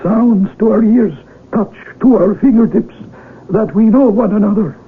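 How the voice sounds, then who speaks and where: An actor playing a gentle, patient old blind village elder in a radio drama, speaking in slow, halting, deliberate phrases. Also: male voice, high voice, slightly rough, full character voice. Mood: calm, wise. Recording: narrow-band noisy old radio drama recording.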